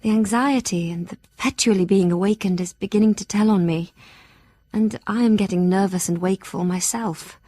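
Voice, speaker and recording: A woman's voice: medium pitch, fairly quick, warm tone, dry recording, close to an everyday voice. An actress as an anxious young Victorian Englishwoman. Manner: earnest, formal, sighing. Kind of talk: audio drama journal narration.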